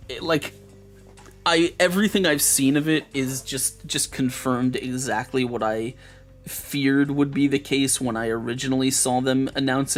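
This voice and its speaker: Rambling male streamer, hoarse voice. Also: mid-range voice. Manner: rambling, lots of restarts and fillers, swears casually. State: losing his voice. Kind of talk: casual live-stream chat.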